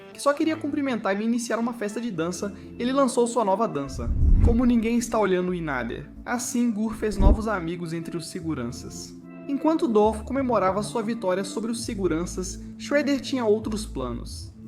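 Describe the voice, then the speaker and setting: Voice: medium pitch, lively intonation, normal speed. A Brazilian narrator reading a scripted cartoon video essay. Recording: music behind the voice. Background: background music.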